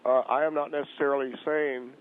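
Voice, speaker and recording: A man playing an aggrieved, insecure, pompous married man who calls into a radio show, halting and indignant, repeating himself stubbornly. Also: medium pitch, flat delivery, lightly acted. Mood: defensive. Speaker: radio show guest on the phone. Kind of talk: improvised comic radio interview. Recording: tinny narrow phone line on radio.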